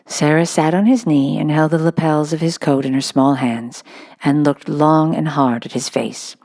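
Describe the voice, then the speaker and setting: A woman's voice: sits low, steady tone, normal speed, darkish tone. A woman reading a children's novel aloud as scripted narration. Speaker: storybook reader.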